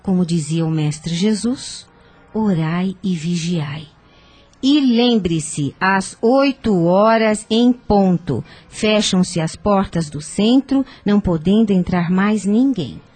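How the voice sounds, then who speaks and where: A woman's voice: mid-range voice, animated, slow pace, a little mellow, breathy edge, faint music behind. An actress with a Brazilian accent playing a kindly, devout older woman in a radio soap, earnest and instructive. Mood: solemn counsel. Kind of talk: radio soap opera acting.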